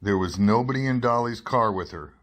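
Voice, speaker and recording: A probing, skeptical newspaper reporter played by a man in a radio drama. The voice is a little low, with a dry, wry delivery for dialogue and narration. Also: a little fast, a bit bright. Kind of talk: radio drama acting and first-person narration.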